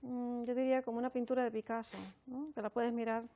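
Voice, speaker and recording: A woman's voice: fairly high pitch, level tone, fast talker, dark tone. A woman from the Republic, answering reflectively as an academic interview guest, often adding tag questions. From Dominican Republic, raised also in New York. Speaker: sociology professor, interview guest.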